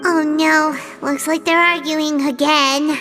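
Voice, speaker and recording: A woman voicing a small, bubbly, excitable female companion in a game cutscene. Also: high voice, takes it slowly, full character voice. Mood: chirpy. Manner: chirpy, excitable. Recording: reverberant game mix with loud music.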